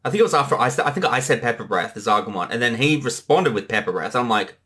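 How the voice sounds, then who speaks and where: A creator chatting casually through a commentary track, in an animated, rambling way. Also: mid-range voice, fast talker. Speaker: creator giving commentary, voice actor. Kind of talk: casual commentary chat between two creators.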